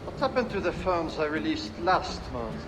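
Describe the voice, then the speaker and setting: Casting: a stern, sardonic male official in a game, echoing voice amid loud noise. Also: fairly high pitch, big pitch swings, normal speed. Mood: accusing. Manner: dry, accusing. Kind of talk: video game cutscene acting.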